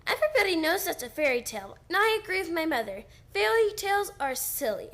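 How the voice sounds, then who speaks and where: A woman's voice: very high-pitched, big pitch swings, quick pace, a bit bright, slight background noise, full character voice. A young woman playing a prim, matter-of-fact little girl who contradicts grown-ups in an old-time radio play. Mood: prim disbelief.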